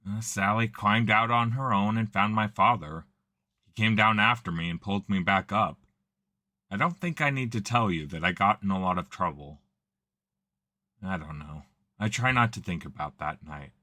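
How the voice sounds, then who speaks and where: A man voicing a young man who haltingly recounts a painful childhood memory in an audio drama, in a subdued, hesitant way. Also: fairly low pitch, pushed and bright, no echo.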